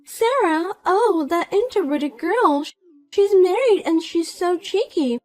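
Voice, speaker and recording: A woman's voice: very high-pitched, animated, takes it slowly, a little mellow, faint music behind, pitched above the performer's own voice, exaggerated voice, poor audio. A woman voicing a vain, spiteful young woman who mocks and pushes others around. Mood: taunting. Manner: mocking, pushy, self-important.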